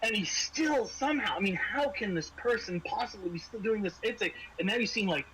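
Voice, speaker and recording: A man running a tabletop roleplaying game, narrating casually and haltingly, often repeating words. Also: sits high, animated, very noisy. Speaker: game master.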